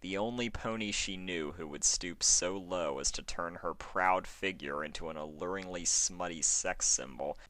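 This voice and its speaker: A man reading fan fiction aloud as expressive, scripted story narration. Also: deep voice, moderate pace, few pauses, no echo.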